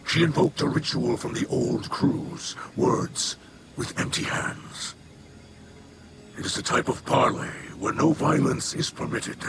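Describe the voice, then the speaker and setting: Man voicing a slow, gravelly, solemn alien leader. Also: mid-range voice, lightly acted. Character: grave, remorseful male alien leader of a house. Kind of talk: video game character acting.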